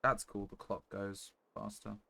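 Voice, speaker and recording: A man's voice: fairly low pitch, level tone, measured pace, bright, forceful tone. A man streaming a video game, commenting casually and half to himself in an offhand, muttering way with some swearing. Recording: clearly noisy microphone with clicks.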